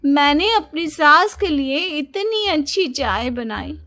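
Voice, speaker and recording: A woman's voice: high voice, expressive, slow pace, bright, forceful tone, soft background music, full character voice. A woman voicing a self-pitying young daughter-in-law in a cartoon moral story, with a whiny, dramatic, aggrieved manner.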